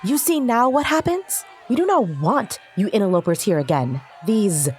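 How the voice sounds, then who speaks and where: A fervent female cult leader, performed by a woman in an audio drama. She preaches in a stern, commanding tone at a middling pitch, with faint music underneath. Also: sing-song, normal speed, a little mellow, some chatter behind, lightly acted. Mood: fervent, defiant.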